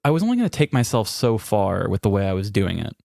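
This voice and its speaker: A man's voice: lowish voice, big pitch swings, fast, creaky, darkish tone, no echo, studio quality. An American man hosting a podcast, talking casually and candidly in a reflective, personal way. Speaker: podcast host.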